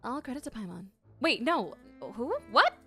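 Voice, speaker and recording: A woman reacting to a game on stream, her voice high, bright and lively as she chatters and giggles. She talks excitably over the game's sound. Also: normal speed, husky, soft background music.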